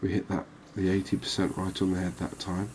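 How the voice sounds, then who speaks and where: A man giving relaxed, unhurried ASMR-style commentary on a video game, musing conversationally as he goes. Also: low-pitched. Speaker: gaming video host. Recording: clearly noisy background ambience.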